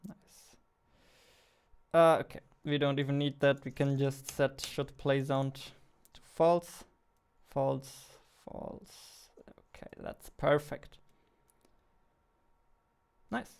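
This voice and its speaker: Man in his twenties, unhurried, medium pitch, casual game developer vlog, faint music. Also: level tone, gravelly, smooth. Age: 20s. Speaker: indie game developer, vlogger. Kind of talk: casual thinking-aloud dev vlog. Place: small indoor room at a computer. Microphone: headset.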